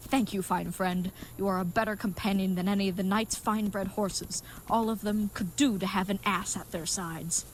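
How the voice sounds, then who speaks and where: Over clearly noisy ambience comes an earnest, simple-minded knight of an audio comedy , played by a woman. The voice sits at a middling pitch and delivers grand, florid, old-fashioned proclamations with kind-hearted chivalry. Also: steady tone, normal speed, few pauses, lightly acted. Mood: fond, chatty. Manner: grandiose, florid, mock-archaic phrasing. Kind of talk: comedic audio drama acting.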